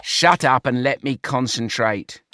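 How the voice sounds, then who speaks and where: A man's voice: medium pitch, unhurried, sharp tone. A man voicing a dry, curt male pig financier who is fussy about germs.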